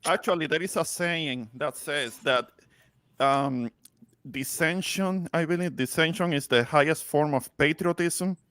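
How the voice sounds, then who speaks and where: A man speaking as a panelist in a casual political discussion, halting and repeating words for emphasis. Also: a little high, a bit bright, no room sound. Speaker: talk show panelist.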